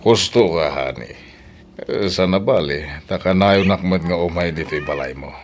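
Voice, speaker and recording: A man playing a deep-voiced, indulgent older boss. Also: normal speed, a little hiss or hum. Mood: indulgent, coaxing. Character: indulgent, secretive older married boss and lover. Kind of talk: Ilocano radio drama acting.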